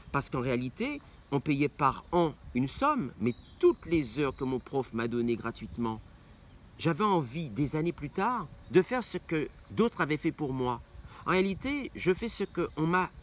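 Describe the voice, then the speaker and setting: A man's voice: fairly high pitch, very animated, measured pace. A middle-aged man from Martinique, an opera singer answering interview questions with passion, repeating phrases for emphasis. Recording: faint background noise.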